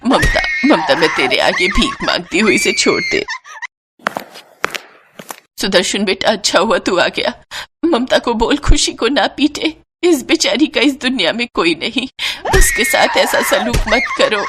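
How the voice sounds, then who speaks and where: A kind old grandmother from a moral tale, played by a woman. She sounds sorrowful and pleading, with an animated pitch and faint noise behind her. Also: measured pace. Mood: desperate pleading.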